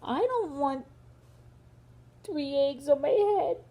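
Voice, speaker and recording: A woman's voice: sits high, expressive, slow pace, mellow, slight background noise, voice pushed up. A woman voicing an anxious, easily flustered male elephant from a picture book, with hesitant, stammering delivery. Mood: upset.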